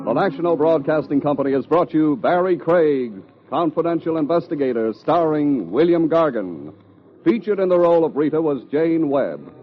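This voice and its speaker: A man's voice: on the high side, animated, moderate pace. An American man announcing in 1950s radio style, formal, polished and emphatic. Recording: muffled vintage radio broadcast. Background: faint music.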